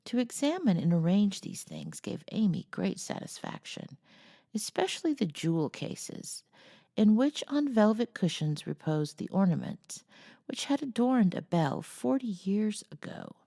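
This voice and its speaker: A woman reading a classic novel aloud as a storyteller, with a creaky voice and a measured, cozy delivery. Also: medium pitch, big pitch swings, dark tone. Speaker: storyteller, host of a read-aloud series.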